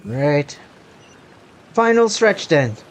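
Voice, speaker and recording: Woman, game streamer, lowish voice, unhurried pace, casual reactions. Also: very animated, a little hiss or hum. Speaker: streamer playing a story game. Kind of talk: casual gameplay commentary, reading and reacting.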